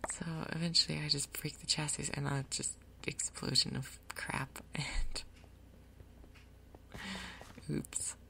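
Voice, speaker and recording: A woman doing soft-spoken ASMR game commentary in a breathy half-whisper, with a casual, rambling, unhurried manner. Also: deep voice, little pitch movement, low-quality recording. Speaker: gaming video host.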